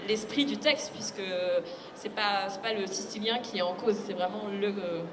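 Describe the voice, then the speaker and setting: A woman's voice: mid-range voice, moderate pace. A woman giving thoughtful explanations as a guest translator in a conversational public discussion. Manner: thoughtful, explanatory, illustrates with quoted asides. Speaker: literary translator, guest speaker. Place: public book fair talk. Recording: echoey hall, loud ambient noise.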